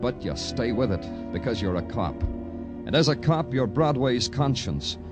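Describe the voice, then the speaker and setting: An actor with an American accent playing a weary, sardonic police detective in a 1950s radio crime drama, wry, deadpan and clipped. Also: male voice, medium pitch, a little slow. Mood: brooding, poetic. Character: weary, sardonic male city police detective narrating his case. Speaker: lead actor and narrator. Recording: vintage radio recording with music.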